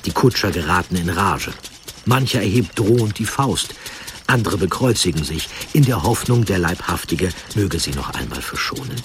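A man reading scripted story narration in deliberate, dramatic short phrases. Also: deep voice, expressive, a little hiss or hum.

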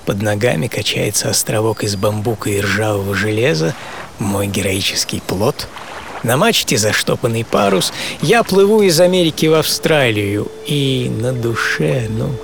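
A hardy old seafarer of seventy, tells his own story as an audio play monologue. His mid-pitched voice is gravelly, with music behind it. He is philosophical and wry, savoring his pauses. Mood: calm, proud, then contemplative. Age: 70s. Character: hardy, serene seventy-year-old male solo sailor, philosophical and wry. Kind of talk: first-person dramatic monologue in an audio play.

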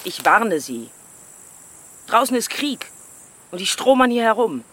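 A woman's voice: a little high, very animated, measured pace, a bit bright, exaggerated voice. A woman playing a stern, bitter middle-aged village aunt in a radio play, curt and scolding as if issuing warnings. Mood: grim warning. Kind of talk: German radio play acting.

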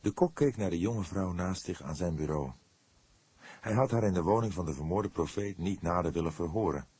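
A man's voice: sits low, normal speed, darkish tone, husky, dry recording. A man reading a detective novel as scripted audiobook narration.

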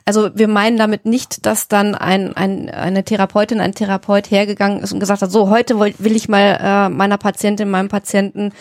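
Dry-recorded woman, mid-pitched. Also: level tone, brisk, few pauses, no room sound. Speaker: podcast co-host. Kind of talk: conversational podcast discussion.

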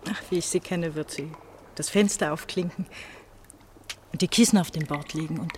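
An actress playing an anguished eighteen-year-old pastor's daughter in a German radio drama, brooding and halting. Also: female voice, low voice, a little mellow, slight background noise, lightly acted. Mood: wistful, troubled. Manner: brooding, halting monologue with repeated phrases.

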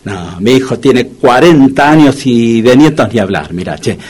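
A man's voice: medium pitch, lively intonation, normal speed, darkish tone, breathy edge. An actor playing a grumpy, anxious older man from Buenos Aires in a comedic radio play, hesitant and prone to stammering complaints. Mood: grumbling. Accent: Argentine, Buenos Aires. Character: grumpy, anxious older Argentine man, bumbling dog owner.

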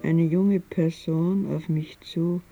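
A woman reciting a poetic literary text in measured, deliberate, short broken phrases. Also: low-pitched, steady tone, lo-fi sound, over loud music.

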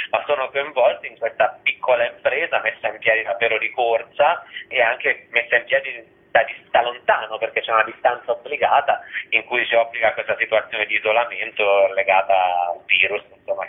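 A man's voice: lowish voice, slightly rough. Man on a tinny phone line. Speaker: theatre festival organiser being interviewed. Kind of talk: live radio interview, spontaneous explanation.

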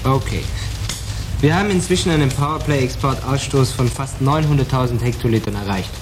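Actor as confident marketing manager, noisy room. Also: male voice, medium pitch, a little fast, close to an everyday voice. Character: confident male beer marketing manager reporting results. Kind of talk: German radio drama dialogue.

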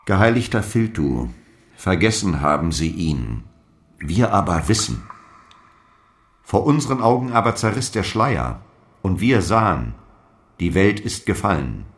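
An actor playing a zealous apostle in a radio drama, declaiming solemn, archaic prophecies and defiant last letters. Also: male voice, low voice, very animated, a little slow, long pauses, full character voice. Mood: solemn, fervent. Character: fiery apostle dictating zealous letters, defiant before his death.

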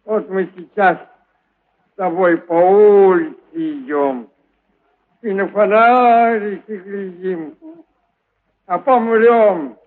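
A man playing a tipsy carpenter in an audio play, rambling to his dog in a drawn-out, muttering, singsong way. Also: sits high. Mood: maudlin grumbling. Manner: slow, drawn-out, muttering, drunken, breaks into singsong. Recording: muffled narrowband audio under loud music. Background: music.

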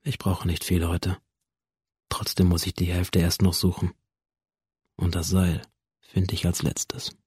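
An actor playing a cold, alienated teenage schoolboy who narrates a radio drama in a detached, brooding, deliberate way. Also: male voice, deep voice, monotone, darkish tone, husky, dry recording, studio quality, heavily acted. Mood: numb, detached. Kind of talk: first-person radio drama monologue.

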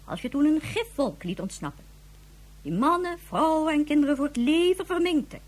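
Stern, high-voiced woman in command. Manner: formal, measured, lecturing. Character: stern authoritative female chief of a future space society. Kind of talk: Dutch science fiction radio drama acting.

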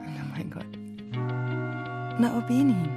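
An actress playing a naive, practical, self-assured young bride-to-be in a German radio drama, chatty and insistent. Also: female voice, medium pitch, expressive, slow, gravelly, over music. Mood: coy.

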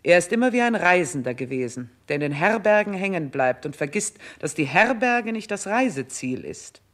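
A woman's voice: on the low side, very animated, normal speed. An actress playing a stern, scornful older queen in a 1960s radio play, with speech and an imperious, declamatory delivery. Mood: stern reproach. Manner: imperious, biting, declamatory, emphatic enumerations.

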